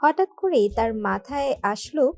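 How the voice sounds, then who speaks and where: A woman's voice: sits high, animated, a little slow. A woman reading scripted narration for a romantic story. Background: background music.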